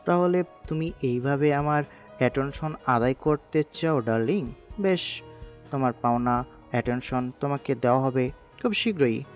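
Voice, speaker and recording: An actor playing a sinister, possessive young man in an audio drama, speaking in a deliberate, menacing drawl. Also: mid-range voice, faint music behind, close to an everyday voice. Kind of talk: dramatized audio story acting.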